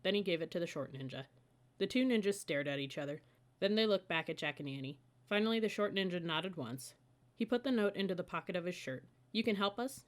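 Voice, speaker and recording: A woman's voice: lowish voice, lively intonation, fast. A woman narrating a children's storybook as an audiobook reader.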